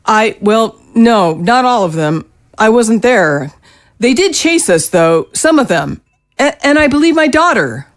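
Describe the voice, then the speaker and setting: A woman's voice: a little high, big pitch swings, unhurried, no echo, studio quality. A woman playing an anxious middle-aged mother in an audio drama, speaking with worry and hesitation. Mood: anxious, hesitant. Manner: hesitant, worried. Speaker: voice actor. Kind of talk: scripted audio drama acting.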